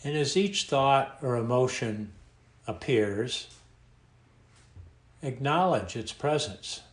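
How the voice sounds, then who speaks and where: A man leading a guided meditation in a calm, unhurried manner, leaving long pauses between phrases. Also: mid-range voice. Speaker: meditation teacher. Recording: echoey room sound.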